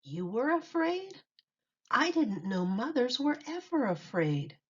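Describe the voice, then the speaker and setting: A woman's voice: sits high, very animated, takes it slowly, husky, pitched above the performer's own voice, exaggerated voice. A woman voicing a timid, polite young boy turtle in a children's storybook, speaking earnestly. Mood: earnest, surprised. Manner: polite, pleading. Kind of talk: children's storybook read-aloud. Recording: dull lo-fi recording.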